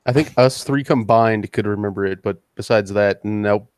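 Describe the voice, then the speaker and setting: A man co-hosting a crude casual chat show, loud and jokey, swearing freely. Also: lowish voice, moderate pace, clear, resonant tone, no room sound, studio quality. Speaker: podcast co-host.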